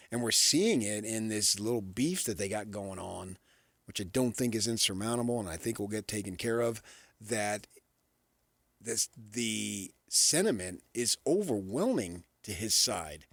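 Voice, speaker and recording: A man's voice: fairly low pitch, a bit bright, dry recording. An American man co-hosting sports talk radio, speaking casually in rambling anecdotes and asides.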